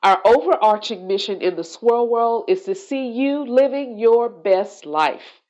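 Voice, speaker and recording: A woman hosting a solo podcast, sounding congested and nasal from allergies, talking in a slow, reflective way. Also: a little high, expressive, a little mellow, dull audio. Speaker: podcast host.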